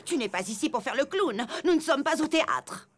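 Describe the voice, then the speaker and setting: A woman's voice: high voice, lively intonation, normal speed, very noisy. A woman voicing a stern, humourless elephant schoolteacher in a children's cartoon, speaking formally and scolding. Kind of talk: French children's cartoon dialogue. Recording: loud music underneath.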